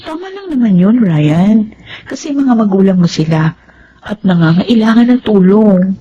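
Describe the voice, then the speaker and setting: Woman acting as a mellow, caring young confidante. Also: mid-range voice, expressive, normal speed, natural voice. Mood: encouraging. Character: caring, devout young woman giving earnest advice. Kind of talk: Tagalog radio drama acting. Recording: dull, noisy lo-fi sound.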